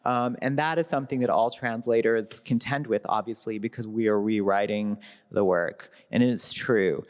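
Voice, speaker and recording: A man with a Midwestern American accent, a literary translator speaking thoughtfully on a festival panel and laughing lightly as he goes. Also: on the low side. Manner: thoughtful, laughs lightly, slows down when reading lines aloud. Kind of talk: reflective panel talk. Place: festival event hall. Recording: long hall reverb.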